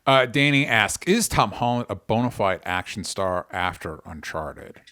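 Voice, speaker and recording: A man hosting a podcast in casual chat, halting and correcting himself as he goes, swearing freely. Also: fairly low pitch, bright, forceful tone, dry recording, clean studio sound. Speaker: podcast host.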